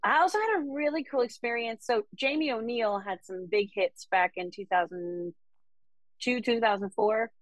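A woman's voice: fairly high pitch, normal speed, no echo. Chatty woman singer-songwriter, dry sound. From Denmark. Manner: chatty, enthusiastic storytelling. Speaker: country singer-songwriter guest. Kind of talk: casual interview conversation.

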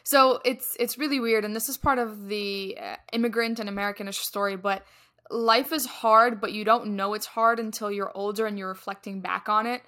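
A woman's voice: mid-range voice, steady tone, moderate pace, pushed and bright. A young woman from Egypt co-hosting a podcast, chatting casually and poking fun at herself. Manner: chatty, self-deprecating, laughs while talking. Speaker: podcast co-host.

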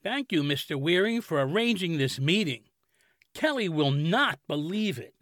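An actor playing an eager, gushing fan in a comedic audio drama, excitable in delivery. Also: male voice, on the high side, no room sound. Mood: starstruck. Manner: excitable, rushed.

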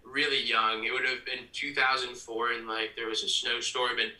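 A man's voice: medium pitch, flat delivery, moderate pace, pushed and bright. Echoey room, slightly off-mic, young American man, earnest, conversational, casual interview style. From Ohio, United States. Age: young adult. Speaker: podcast guest, PA announcer and ticket sales executive. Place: room at home, audio call. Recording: roomy, slightly distant mic.

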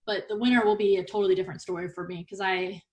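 A woman's voice: medium pitch, steady tone, very fast, a little mellow. An upbeat, chatty woman from Texas who laughs easily, speaking casually as an interview guest on a sports podcast. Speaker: podcast guest, baseball broadcaster.

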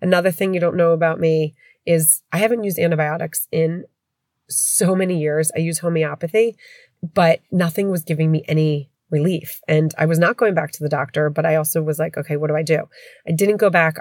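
A woman's voice: low voice, fairly even intonation, a little fast, darkish tone, no echo, clean studio sound. A middle-aged woman with a stuffy head cold, chatting candidly as a podcast host from home. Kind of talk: casual podcast chat.

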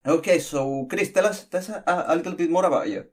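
A man's voice: a little high, brisk, a little mellow. A man hosting an interview podcast, reading an earnest intro, then asking probing questions in casual chat. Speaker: podcast host.

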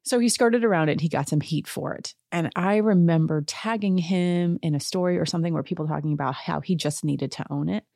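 A woman with a low, mellow voice chats through her podcast in a loose, sarcastic way. She laughs often between asides. The sound is dry and close, with no room around it. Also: a little fast, gravelly, hardly any pauses, no echo. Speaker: podcast host. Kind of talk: casual chat.

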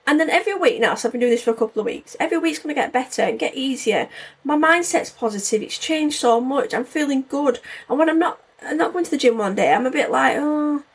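A woman's voice: sits high, animated, fast. A woman in her forties hosting her own podcast, chatting casually and rambling with lots of fillers. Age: 40s. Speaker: podcast host. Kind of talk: casual solo podcast chat.

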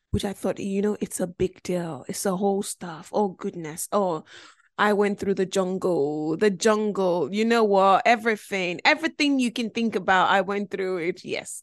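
A woman's voice: medium pitch, no echo, clean studio sound. A woman talking casually and reflectively as a podcast host, chatty and emphatic, often repeating phrases for emphasis. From Nigeria, later lived in Belgium. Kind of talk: casual, reflective personal monologue.

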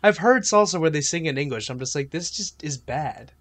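A man's voice: a little high, lively intonation, fairly quick, fairly bright tone. A young man hosting a casual music chat, eagerly explaining song meanings and styles. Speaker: podcast host.

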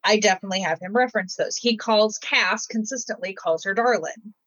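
A woman's voice: medium pitch, fairly quick, fairly bright tone, no echo. Chatty novelist guest, woman from Michigan, mid-range voice, dull, dry recording. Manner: chatty, many "like" fillers. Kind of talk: casual interview chat.

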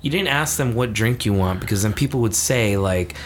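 A young man with a low voice tells stories in a relaxed, chatty way, slipping into other voices to act out scenes. Faint noise hums in the background. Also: brisk. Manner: storytelling, acts out bits in voices. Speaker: podcast co-host. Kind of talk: casual chat.

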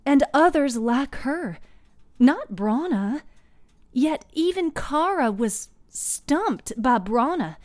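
Woman voicing an inquisitive young woman, high-pitched. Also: animated, slow, a little mellow, no room sound. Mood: analytical, probing. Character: inquisitive, analytical young woman in a fantasy tale.

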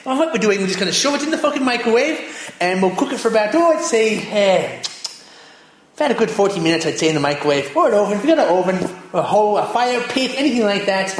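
A man's voice: high voice, expressive, fast talker. A man playing a crude, foul-mouthed Irish cook who rants and swears through a cooking parody, in a put-on Irish accent. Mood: grumbling rant. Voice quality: constant swearing, gruff rants. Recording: very echoey room.

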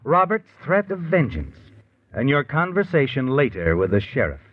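Sinister, swooping radio suspense narrator. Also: male voice, medium pitch, very animated. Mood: knowing, ominous. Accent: American. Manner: ominous, insinuating, dramatic, deliberate. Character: knowing, sinister, taunting male omniscient storyteller. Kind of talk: 1950s American radio suspense narration in second person. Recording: tinny narrow 1950s radio recording.

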